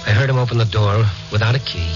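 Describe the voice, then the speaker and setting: An actor playing a steady, probing investigator who also narrates, in a terse 1950s radio noir style. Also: male voice, fairly low pitch, quick pace, music in the background, natural voice. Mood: suspenseful narration. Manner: questioning, terse. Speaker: lead character and narrator. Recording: muffled 1950s radio recording.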